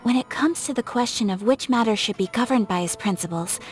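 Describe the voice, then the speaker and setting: A woman playing a gentle, refined young noblewoman in a video game, speaking with formal, poetic, soft-spoken politeness. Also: fairly high pitch, brisk, close to an everyday voice. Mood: measured, composed. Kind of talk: scripted video game character voice lines. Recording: music behind the voice. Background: music.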